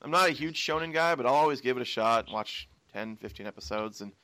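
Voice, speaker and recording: A man's voice: medium pitch, lively intonation, fast talker, pushed and bright, no room sound. A young American man from Washington State hosting a casual podcast chat, rambling and laughing as he tells stories. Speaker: podcast host.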